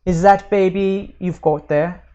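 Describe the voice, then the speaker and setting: A narrator doing a kindly, gruff old-time policeman. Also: mid-range voice, fairly even intonation, a little slow, dull audio, voice pushed up, natural voice. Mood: brusque, startled. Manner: gruff but gentle, fatherly coaxing. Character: kindly, bulky male night policeman. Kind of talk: audiobook character voice.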